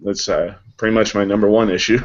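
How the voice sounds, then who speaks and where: A man, a voice actor, answering interview questions casually in a deliberate, wordy way. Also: on the low side, fairly even intonation. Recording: dull lo-fi online call audio.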